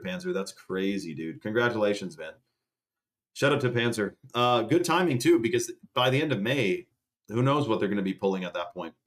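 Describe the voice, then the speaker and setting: Low-voiced man, chatty livestream pitch. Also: fairly bright tone. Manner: chatty, salesy, says dude and man a lot. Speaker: channel host reading a sponsor ad. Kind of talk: casual livestream sponsor pitch.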